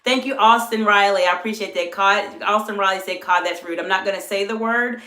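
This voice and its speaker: A middle-aged woman who is an executive coach, talking live to viewers and their chat while she is under the weather, with her voice lower than usual. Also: fairly quick. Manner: warm greetings to viewers, coaching tone. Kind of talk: live-stream talk to chat. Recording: echoey room.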